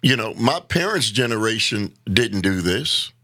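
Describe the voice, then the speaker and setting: A man speaking earnestly as a guest in a casual conversation, halting and repeating words as he builds his point. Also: lowish voice, expressive, bright, forceful tone, dry recording, studio quality.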